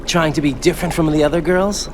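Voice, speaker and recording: A man acting a calm, patient young rescue operative in a cinematic video game. He is earnest and coaxing, reassuring like someone guiding a frightened person to safety. Noisy watery ambience runs clearly behind him. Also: a little high, quick pace, natural voice.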